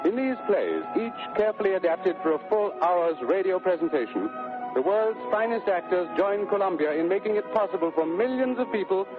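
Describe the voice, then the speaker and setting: A man's voice: high-pitched, expressive, brisk, music in the background. A man making a formal, declamatory announcement as a 1930s radio network announcer. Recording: tinny 1930s radio broadcast.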